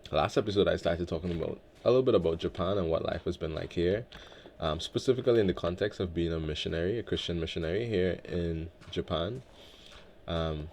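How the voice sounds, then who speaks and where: A man from Guyana hosting a relaxed game let's play, talking in a laid-back, reflective way with many filler words. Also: low-pitched, a little hiss or hum. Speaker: Minecraft let's play host. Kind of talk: relaxed gameplay commentary.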